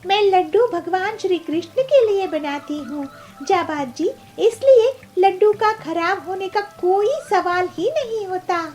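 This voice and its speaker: A woman's voice: extremely high pitch, unhurried, a little mellow, soft background music, voice pushed up. A woman voicing a cheerful, devout young bird who sells sweets, eager and singsong, in a children's cartoon. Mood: firm, sincere.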